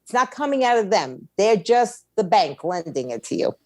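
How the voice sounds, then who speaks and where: A woman's voice: medium pitch, big pitch swings, normal speed, a little mellow, no room sound, studio quality. A woman giving candid, conversational advice as an interview guest, blunt and plain-spoken. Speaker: music attorney and label owner, interview guest.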